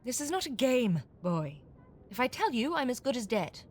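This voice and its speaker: An actress in an audio drama playing a shrewd, defiant captive con artist, sardonic and evasive. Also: female voice, on the high side, sing-song, normal speed, slight background noise. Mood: guarded, grim.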